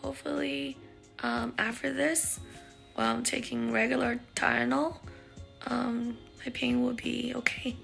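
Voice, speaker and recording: A woman vlogger giving a casual recovery check-in, with a raspy, sometimes unclear voice and a halting, filler-heavy way of talking. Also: a little high, flat delivery, fairly bright tone, faint music behind. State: recovering voice after neck surgery.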